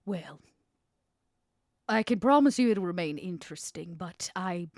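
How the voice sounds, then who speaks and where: A woman playing a guarded, shrewd vampire proposing business in a measured, dryly intimate way for a tabletop roleplay podcast. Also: fairly low pitch, lively intonation, a little mellow, no room sound, studio quality. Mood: wry, persuasive.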